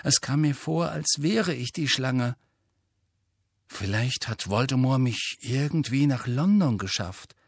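A man's voice: medium pitch, lively intonation, takes it slowly, vocal fry, husky, no room sound, clean studio sound, voice pushed up, exaggerated voice. A male narrator playing a moody, irritable teenage boy with a terse, defensive manner in an audiobook. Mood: troubled.

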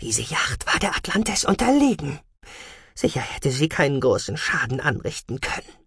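A man's voice: fairly high pitch, fairly quick, a bit bright, breathy edge, no echo, clean studio sound, voice pushed up. A man voicing a proud female scientist and first officer in a science fiction audiobook, indignant and challenging. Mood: affronted.

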